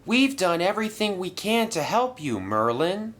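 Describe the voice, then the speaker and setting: A man voicing a brisk, practical female truck in a storybook reading, matter-of-fact and a little skeptical. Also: high voice, sing-song, a bit bright, pitched above the performer's own voice.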